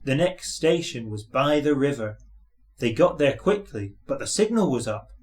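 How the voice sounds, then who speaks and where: A man's voice: mid-range voice, sing-song, moderate pace. A man reading a children's story aloud as scripted narration.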